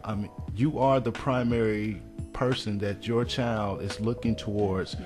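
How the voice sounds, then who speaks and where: A man's voice: fairly low pitch, fairly even intonation, unhurried, over music. A man speaking as a guest on a radio interview. He is a mentor and counselor, and he earnestly urges parents to support their kids.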